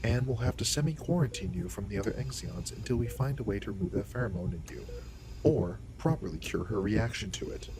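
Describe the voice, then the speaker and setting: A calm alien doctor, acted by a man, speaks in a low voice. He explains matters in a measured, reassuring way, as in a sci-fi audio roleplay. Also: natural voice. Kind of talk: science fiction audio roleplay acting.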